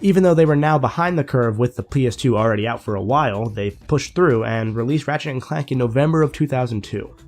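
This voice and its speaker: A man's voice: medium pitch, fast, hardly any pauses, faint music behind. A young man with a slightly nasal, congested voice, recovering from a sinus infection and narrating a scripted video game review.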